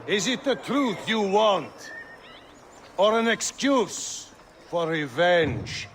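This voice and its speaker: An actor playing a vengeful, power-hungry usurper in a video game, declaiming accusations with righteous scorn. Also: male voice, high-pitched, big pitch swings, takes it slowly, a bit bright, a little hiss or hum, exaggerated voice. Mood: stern, accusing. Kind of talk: dramatic video game acting.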